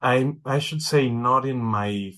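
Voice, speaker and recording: A man's voice: mid-range voice, takes it slowly, fairly bright tone. A man from Italy with an Italian accent, speaking as a neurologist guest in an interview, thoughtful and often repeating words as he searches for them. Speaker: medical director, neurologist.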